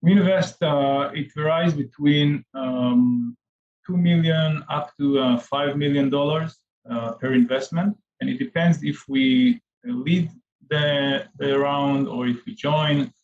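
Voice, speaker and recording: A middle-aged man from Israel, an investor answering interview questions with many pauses and fillers. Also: on the high side, darkish tone, muffled recording. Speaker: venture capital investor guest.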